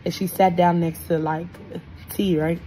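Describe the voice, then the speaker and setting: A woman's voice: fairly low pitch, little pitch movement, a little slow, dark tone, slight background noise, poor audio. A woman, telling a slangy, gossipy story casually to a phone held close in a hotel room. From Houston, United States. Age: 20s. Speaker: vlogger. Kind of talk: casual storytime chat to camera. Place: bedroom-sized hotel room, indoors.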